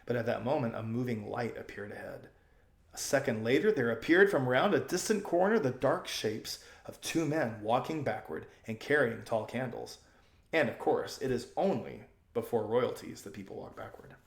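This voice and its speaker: A man reading a children's story aloud as an expressive audiobook narrator. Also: medium pitch, normal speed, vocal fry, reverberant.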